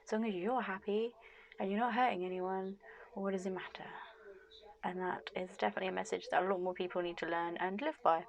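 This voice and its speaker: A woman's voice: medium pitch, fairly even intonation, brisk, vocal fry. A woman vlogger chatting frankly to camera, laughing often and losing her voice a bit. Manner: frank and chatty, with frequent laughs and "like, you know" fillers. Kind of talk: casual talking-to-camera vlog.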